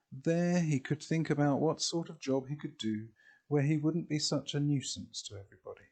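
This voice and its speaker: A man reading a children's storybook expressively, in a deliberate way with drawn-out phrases. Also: medium pitch, gravelly, darkish tone, husky.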